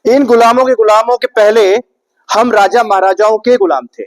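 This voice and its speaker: High-voiced man giving a rousing speech. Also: fairly even intonation, normal speed. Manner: rhetorical, repetitive, questioning the audience. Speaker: speaker at an Independence Day event. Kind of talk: public speech to an audience.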